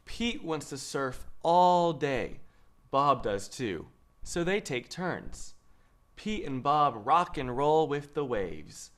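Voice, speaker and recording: Young adult male storyteller for picture books, unhurried delivery, animated pitch. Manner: measured, expressive storytelling with lifted quoted lines. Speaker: read-aloud host. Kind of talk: children's picture book read-aloud. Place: indoor studio.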